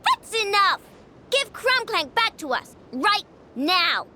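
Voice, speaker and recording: A woman's voice: very high-pitched, sing-song, slow pace, background noise, heavily acted. A woman voicing a plucky, stubborn young girl inventor in a video game, spirited and emphatic. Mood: defiant, urgent.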